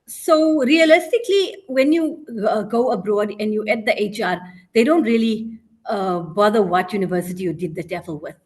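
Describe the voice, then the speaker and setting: A woman with a South African accent speaks as a guest on a casual podcast. She pauses often and fills the gaps with ums and "you know". Her voice sits at an ordinary middle pitch. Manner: hesitant, frequent ums and "you know" fillers. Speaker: guest, teacher working abroad.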